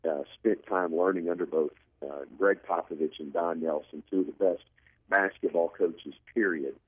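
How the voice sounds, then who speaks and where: Man, very low husky voice, sports commentator, emphatic repetition, thin phone-like sound. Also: normal speed, dry recording. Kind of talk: sports interview analysis. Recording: narrow phone-like line.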